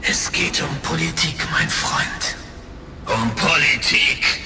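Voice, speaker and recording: An actor playing a pompous, villainous space pirate baron in a sci-fi audio drama, gloating with drawn-out, theatrical phrasing. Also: male voice, on the high side, expressive, vocal fry, loud music behind the voice, heavily acted. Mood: scheming.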